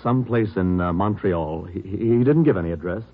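A man's voice: low voice, fairly quick, husky. An actor playing an evasive, defensive man who stammers nervously in a 1950s radio drama. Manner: stammering, agitated. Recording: dull old radio broadcast.

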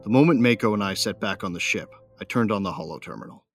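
An actor playing a hard-bitten, vengeful bounty hunter who narrates an audio drama in a gruff, sardonic, slangy way. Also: male voice, fairly low pitch, expressive, quick pace, soft background music. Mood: grim, sardonic.

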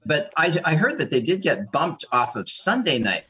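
A man's voice: on the high side, big pitch swings, normal speed, sharp tone. A man who is a sports chaplain and coach, giving reflective, moralizing commentary on a casual talk panel.

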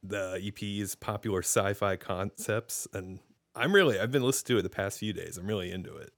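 A man hosts a casual podcast over a video call, asking rambling, hesitant questions with frequent restarts. His voice sits a little low, and the sound is dry and close, with no room echo. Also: a little fast, fairly bright tone. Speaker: podcast host.

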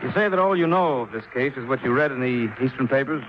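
An actor playing a serious, guarded chief insurance adjuster in a 1950s radio drama, speaking gravely and deliberately. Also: male voice, medium pitch, expressive, over music, lightly acted. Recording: dull, noisy 1950s radio recording.

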